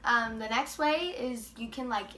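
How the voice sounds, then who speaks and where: A woman's voice: a little high, normal speed, bright, forceful tone, a little hiss or hum. Teen girl co-host with a cold, casual chat, echoey room. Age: teens. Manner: chatty, many filler "likes". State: has a cold.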